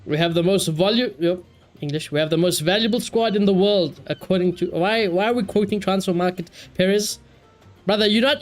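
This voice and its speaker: A male streamer with a slightly high voice talks casually to his chat. He is opinionated and tends to repeat himself, and faint game music plays behind him. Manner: opinionated, exasperated asides, repeats phrases, mild swearing. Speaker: live streamer.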